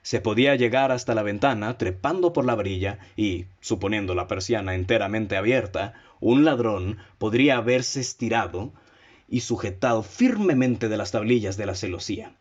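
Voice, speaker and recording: An actor playing a calm, methodical male detective in a radio drama, measured and lecturing as he lays out his deductions and poses pointed questions. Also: on the low side, lo-fi sound, natural voice. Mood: explaining.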